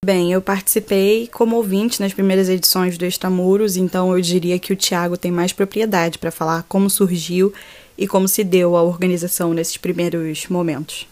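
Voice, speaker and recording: A woman's voice: on the low side, little pitch movement, normal speed, darkish tone. A woman in her twenties from Rio de Janeiro with a Carioca accent, a podcast guest who talks in a friendly, chatty way. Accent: Rio de Janeiro Portuguese. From Rio de Janeiro, Brazil. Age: 20s. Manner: friendly, chatty self-introduction. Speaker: guest, social sciences student. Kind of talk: casual podcast interview.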